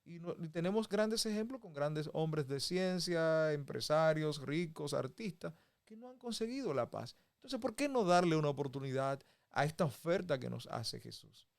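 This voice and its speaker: A man's voice: on the high side, big pitch swings, moderate pace, creaky. A man from the Republic, teaching a Bible lesson in a folksy conversational way. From Dominican Republic. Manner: folksy, with homey examples and chuckles. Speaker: preacher.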